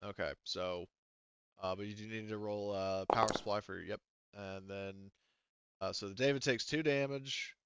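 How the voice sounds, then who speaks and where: A man's voice: lowish voice, fairly even intonation, normal speed, highs cut off, very noisy. A man casually improvising narration as game master of a tabletop game, rambling and joking as he goes.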